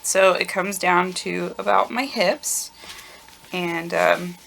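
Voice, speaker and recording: A woman in her thirties hosting a knitting video podcast in a casual, chatty way with lots of ums. She has a very low voice that sounds tired and groggy, recorded on a camera mic in a warm room at home. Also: takes it slowly, creaky, slight background noise, poor audio. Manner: relaxed and chatty, frequent ums. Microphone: camera microphone.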